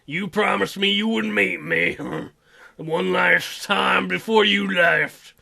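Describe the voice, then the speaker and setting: Stubborn, wounded young guy for a dating game, done by a male player, halting, gravelly, slow delivery. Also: sits high, animated, sharp tone, full character voice. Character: stubborn, hurting Filipino young man, childhood friend.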